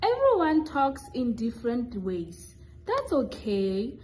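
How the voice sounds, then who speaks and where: High, lively young woman narrating slowly. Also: slight background noise, rough recording. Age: young adult. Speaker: narrator and student puppeteer. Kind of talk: scripted children's story narration.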